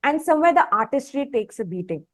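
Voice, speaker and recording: A woman's voice: high-pitched, very animated, fast. A woman with an Indian accent interviewing a guest, putting long conversational questions together hesitantly in fragments.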